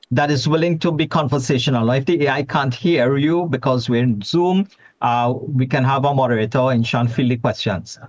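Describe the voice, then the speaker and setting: A man with a Trinidadian accent presenting at an online forum, chatty and repeating words as he restarts. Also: medium pitch. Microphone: video call. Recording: dull lo-fi video call audio.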